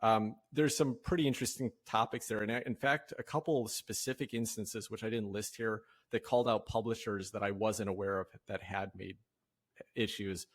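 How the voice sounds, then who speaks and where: Low-voiced man, halting and conversational. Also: steady tone. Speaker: podcast host leading a discussion. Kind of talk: casual discussion.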